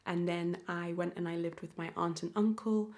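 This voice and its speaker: A woman's voice: fairly low pitch, fairly even intonation, darkish tone. A young woman, speaking to camera in an earnest, reflective personal vlog. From born in Zimbabwe, raised in South Africa. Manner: reflective, earnest, slow and measured. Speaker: vlogger. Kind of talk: personal confessional talk to camera.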